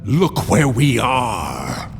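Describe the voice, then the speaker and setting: An actor voicing a cold, condescending tormentor in a video game, deep and gravelly, with taunting delivery. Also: male voice, very animated, very slow. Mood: taunting accusation. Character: cold, accusing male tormentor, menacing and condescending. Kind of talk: dramatic video game villain acting. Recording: long reverb over noisy ambience.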